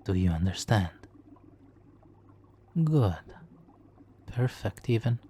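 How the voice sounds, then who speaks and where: A man playing a smug, seductive supervillain hypnotist in an ASMR roleplay, speaking softly and theatrically with lapses into whispers. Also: lowish voice, expressive, slow pace, a little hiss or hum. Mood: smug, commanding.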